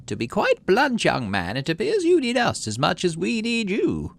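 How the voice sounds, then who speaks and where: A man voicing a prim, firm older woman who governs a colony in a sci-fi game, speaking in a measured, diplomatic way. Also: sits high, wildly swooping pitch, vocal fry, voice pushed up, exaggerated voice. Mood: prim, composed.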